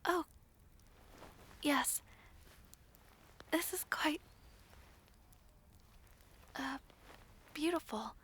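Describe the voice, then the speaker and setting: High, hesitant, humble young maid, acted by a woman. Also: lively intonation, very slow, pauses often, a bit bright, slight background noise, exaggerated voice. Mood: modest, wistful. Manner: hesitant, polite, modest, old-fashioned formal speech. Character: shy, humble young maid of noble birth, newly betrothed. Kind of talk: fantasy audio roleplay acting.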